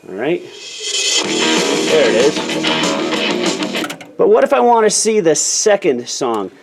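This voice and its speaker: A man's voice: fairly high pitch, huge pitch swings, slow pace, vocal fry, over loud music, low-quality recording. An American man giving a casual hands-on demonstration as an instructor, explaining plainly in small steps and checking in as he goes.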